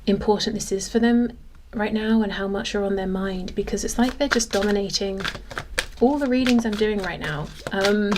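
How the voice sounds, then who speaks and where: A woman's voice: medium pitch, normal speed, noisy background. A woman giving a casual tarot reading, thinking aloud in a conversational way. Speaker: tarot reader.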